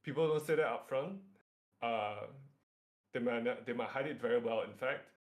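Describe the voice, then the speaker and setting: A man's voice: medium pitch, normal speed. A man from Singapore with a Singaporean accent, leading a workshop as an informal lecture through slides, with hesitant phrasing and frequent check-in tags. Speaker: workshop facilitator.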